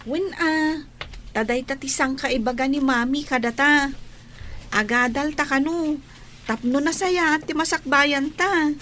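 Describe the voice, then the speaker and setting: A loyal older child protecting a sibling in an Ilocano radio drama. The voice is high and youthful, reassuring, with an Ilocano Filipino accent. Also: female voice, measured pace, pushed and bright, slight background noise. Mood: protective.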